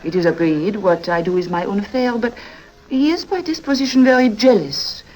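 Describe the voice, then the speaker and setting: A woman's voice: mid-range voice, moderate pace, a little mellow, soft background music, natural voice, rough recording. A woman playing an alluring, self-assured European countess in a 1950s radio drama, coy and coaxing, with a Central European accent and foreign phrasing. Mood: tender, wistful.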